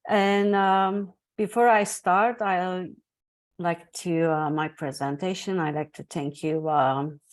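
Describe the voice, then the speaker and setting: A woman's voice: fairly low pitch, steady tone, slow. A woman from Turkey speaking English with a Turkish accent, giving an online talk over a video call with many hesitant fillers. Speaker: guest speaker, academic. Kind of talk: online presentation.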